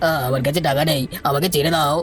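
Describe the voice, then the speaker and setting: A man's voice: a little high, flat delivery, fast talker, natural voice. A man voicing a cowardly, whining village thief in a cartoon folk tale. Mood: scared, pleading. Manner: whining, pleading. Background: music.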